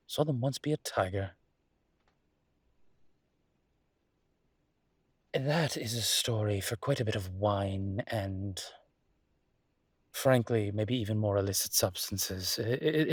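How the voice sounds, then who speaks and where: A man playing a suave, flirtatious demon professor in an intimate audio roleplay, teasing and indulgent, with a husky, breathy voice and languid, theatrically polite phrasing. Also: fairly low pitch, dry recording, clean studio sound. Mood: smug, musing. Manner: languid, seductive, theatrically polite with drawn-out words.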